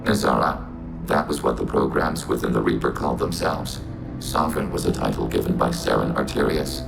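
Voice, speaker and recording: An actor voicing a calm, logical synthetic soldier, speaking in flat, precise, formal sentences. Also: male voice, extremely low pitch, a little fast, gravelly, exaggerated voice, low-quality recording. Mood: explaining. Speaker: squadmate. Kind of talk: video game voice acting. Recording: game audio with music behind.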